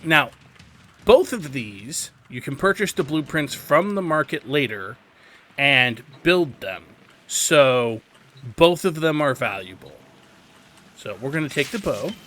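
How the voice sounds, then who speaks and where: A man's voice: a little high, sing-song, takes it slowly, a bit bright. A man giving a casual, instructive video game tutorial in an opinionated, emphatic way. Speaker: video game guide host.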